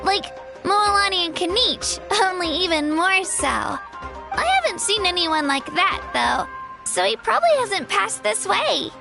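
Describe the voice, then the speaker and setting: A woman's voice: very high voice, lively intonation, measured pace, gravelly, exaggerated voice. A woman voicing a plucky, modest young girl fighter in a video game, girlish and earnest. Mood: thoughtful. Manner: earnest, sweet, eager. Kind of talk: video game character dialogue. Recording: music playing behind.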